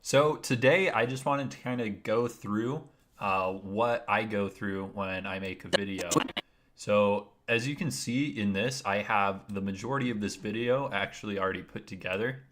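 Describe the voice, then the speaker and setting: A man with a naturally deep voice, explaining his video editing steps one by one in a casual, rambling livestream. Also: moderate pace, fairly bright tone, slight background noise. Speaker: streamer.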